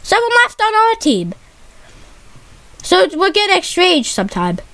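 Excitable woman gamer, very high and bright voice, bouncy and jumpy delivery, talks to a teammate. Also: a little slow. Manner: excitable, repeats warnings, says dude constantly. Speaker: YouTube gamer. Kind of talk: live gameplay commentary with a friend.

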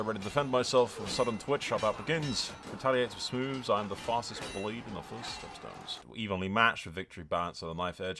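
A man's voice: deep voice, a bit bright. A man hosting a gaming video, mixing casual, sweary commentary with theatrical role-play reading over music. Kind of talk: excitable gameplay commentary mixed with dramatic read-aloud role-play. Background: game music.

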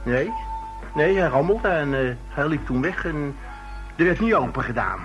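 A man's voice: on the high side, lively intonation, normal speed, soft background music, close to an everyday voice. An actor playing an evasive young man in a radio drama, hesitant, stammering and defensive under police questioning. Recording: dull lo-fi radio drama recording.

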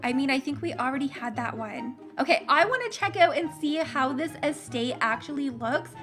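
A young woman chatters bubbly and gushing over a game, her high voice a little worn because she is losing it. Gasps and giggles slip between her lines. Upbeat background music plays clearly beneath her. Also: expressive, normal speed, fairly bright tone. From Canada. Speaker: gaming channel host. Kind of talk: casual game commentary.

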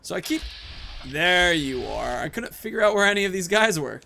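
A man's voice: high voice, big pitch swings, moderate pace, vocal fry, sharp tone. A man in his thirties giving casual, playful gameplay commentary, rambling and talking to himself as he plays. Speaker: gaming video host.

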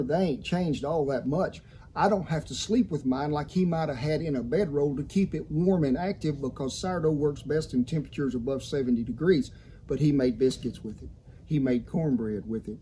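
A man's voice: on the high side, warm tone, a little hiss or hum. A man in his sixties with a Southern American accent hosts a cooking tutorial, talking in a folksy, colorful cowboy storyteller's way. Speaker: cooking show host. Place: outdoor patio kitchen in a yard. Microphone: lavalier clipped to shirt.

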